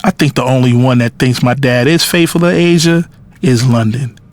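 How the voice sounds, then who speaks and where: A man's voice: medium pitch, normal speed, natural voice. A man playing a cocky, lovestruck son. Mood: candid, intimate. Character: cocky, lovestruck adult son having a secret affair. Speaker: narrator and lead character. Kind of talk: first-person audio drama narration and dialogue.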